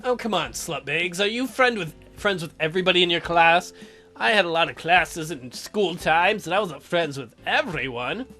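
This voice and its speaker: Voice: medium pitch, lively intonation, moderate pace, pushed and bright, soft background music. A let's play host reading a game aloud and commenting with playful, teasing asides. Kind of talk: gameplay commentary with dramatic read-aloud.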